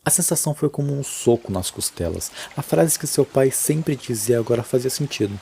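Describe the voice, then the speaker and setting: A man's voice: medium pitch, animated, moderate pace, gravelly, dark tone, breathy edge. A Brazilian man narrating a scripted horror audio drama. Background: music.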